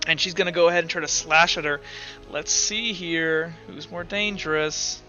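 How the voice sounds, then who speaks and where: A man's voice: on the high side, steady tone, moderate pace. A man working as game master, narrating a tabletop roleplaying game casually, thinking aloud and swearing freely. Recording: dull, lo-fi sound with background music.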